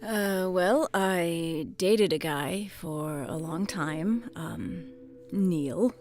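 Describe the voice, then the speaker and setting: A woman's voice: fairly low pitch, drawn-out words, darkish tone, a little hiss or hum. An actress playing a troubled, self-doubting middle-aged former policewoman, earnest and hesitant, in naturalistic audio drama dialogue. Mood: wistful, rueful. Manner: hesitant, stammering, apologetic.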